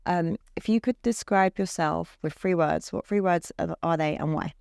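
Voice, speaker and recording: A British woman hosting a lighthearted interview, chatty and quick to giggle. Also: on the low side, creaky, darkish tone, smooth, no room sound, studio quality. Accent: British English. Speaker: interview host.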